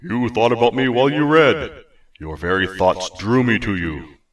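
A man doing a booming tiny male god. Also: normal speed, far from the mic, natural voice. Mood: solemn. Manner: archaic, booming declamation. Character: tiny pompous male god, grandly formal.

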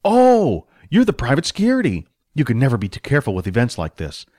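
A man's voice: medium pitch, wildly swooping pitch, a little fast, warm tone, no room sound, clean studio sound. A man voicing a cheerful, proud male general manager in an audiobook, chatty and eager to explain. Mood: relieved, friendly. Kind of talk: audiobook character voice.

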